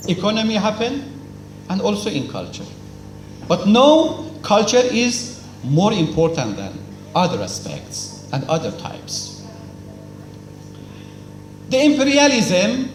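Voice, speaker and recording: Slow, lively man lecturing in an echoey hall. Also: sits high. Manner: lecturing, asks and answers his own questions, emphatic repetition; non-native English with simple grammar. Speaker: speaker at a cultural event. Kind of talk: formal speech. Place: hall at a celebration. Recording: echoey hall with faint noise.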